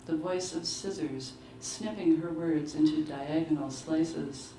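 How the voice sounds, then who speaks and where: A woman giving a measured, deliberate literary reading of short fiction at a live book launch. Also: low-pitched, steady tone, warm tone. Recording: roomy, distant mic, clearly noisy live venue.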